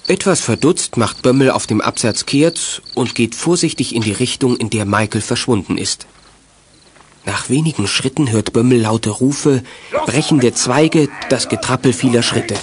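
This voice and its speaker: A man narrating a scripted children's audio drama in a measured, suspenseful storyteller's way. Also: mid-range voice, noisy background. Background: music.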